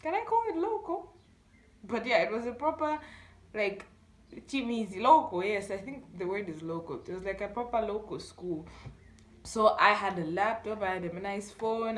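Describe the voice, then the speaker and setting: A young woman with a Malawian accent tells a story casually to camera in an animated way, acting out bits of dialogue with interjections. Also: mid-range voice. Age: young adult. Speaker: storytime YouTuber. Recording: echoey room.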